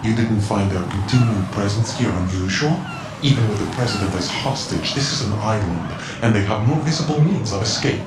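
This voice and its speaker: An actor playing a mysterious cyborg ninja informant in a video game, cool, terse and cryptic, with a measured delivery. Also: male voice, lowish voice, echoey room, over loud music, lightly acted. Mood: cool, instructive. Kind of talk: video game cutscene voice acting.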